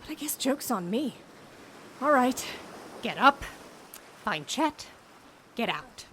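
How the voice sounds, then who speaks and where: A determined woman agent in a comic audio drama. Her fairly high voice moves around a lot as she mutters urgently, with clear noise behind her. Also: very animated, slow pace, sharp tone, exaggerated voice. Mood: straining, determined. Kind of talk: comedic audio drama acting.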